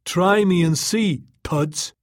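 A man's voice: sits high, takes it slowly, no echo, clean studio sound, pitched above the performer's own voice. A man voicing an angry, foul-mouthed young man who threatens and curses in an audiobook. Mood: disgusted, defiant. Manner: shouting, threatening, cursing. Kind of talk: audiobook character voice.

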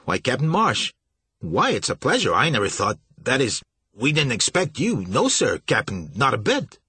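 A man's voice: a little high, sing-song, moderate pace, fairly bright tone, breathy edge, no room sound, voice pushed up, heavily acted. A man voicing a flustered, nervous young shipping agent who stammers and fawns. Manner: stammering, fawning.

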